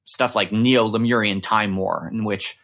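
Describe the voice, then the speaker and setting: A man's voice: lowish voice, fairly even intonation, a little slow, fairly bright tone. A man hosting a conversational interview, earnestly working through long, wordy, reflective questions. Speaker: interviewer, podcast host.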